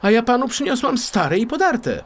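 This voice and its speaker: A man's voice: very high-pitched, big pitch swings, moderate pace, pitched above the performer's own voice, full character voice. A man voicing a naive, easily startled maidservant in a children's story, speaking in exclamations. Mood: amazed.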